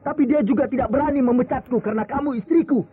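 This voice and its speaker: An actor playing a hot-tempered, bitter husband with wounded pride in an Indonesian radio drama. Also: male voice, very high-pitched, quick pace, a crowd in the background. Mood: furious. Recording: tinny old radio drama, music behind.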